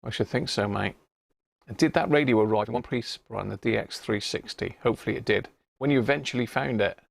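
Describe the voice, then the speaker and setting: A man's voice: medium pitch, a little fast, creaky, no room sound. A British man hosting a livestream, chatting to viewers in an informal, matey, casual way. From United Kingdom. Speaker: livestream host. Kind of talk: casual live chat to viewers.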